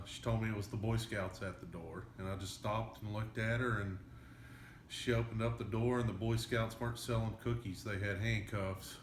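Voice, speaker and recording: Man in his thirties, fairly low, echoey. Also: slight background noise. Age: 30s. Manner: storytelling, with uh fillers. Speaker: interviewee. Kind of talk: documentary interview. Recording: echoey room.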